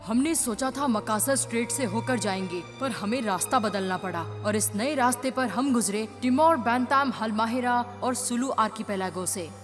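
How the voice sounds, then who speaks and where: A woman's voice: a little high, moderate pace, few pauses, soft background music, close to an everyday voice. A woman voicing an eager young seafarer who tells of a voyage in a cartoon dub, with long reverb on the voice. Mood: storytelling.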